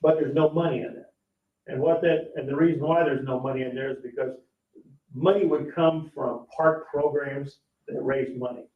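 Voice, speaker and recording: A man speaking as an official at a public meeting, explaining finances in a halting, plain-spoken way and often checking that listeners follow. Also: mid-range voice, steady tone. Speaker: parks board official presenting the budget. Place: indoor public board meeting room. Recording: echoey meeting room, distant dull mic.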